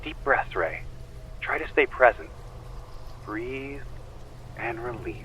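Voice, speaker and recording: A man playing a calm, insistent guide who leads someone through memories in a slow, soothing, probing way in an audio drama. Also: medium pitch, slight background noise, low-quality recording. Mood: urgent, steadying. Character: calm, insistent male memory-regression guide named Carlos.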